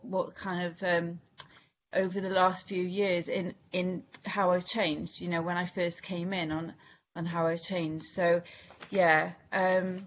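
A Welsh woman from Wales speaking candidly at a recovery meeting, halting with many restarts and fillers. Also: lowish voice, lo-fi sound. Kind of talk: personal share at a recovery meeting.

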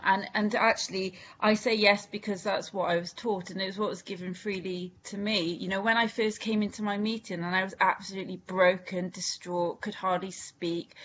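Halting Welsh woman sharing her story. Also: medium pitch, fairly bright tone, lo-fi sound, slight background noise. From Wales. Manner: halting, candid, many restarts and fillers. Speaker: recovery meeting speaker. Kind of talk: personal share at a recovery meeting.

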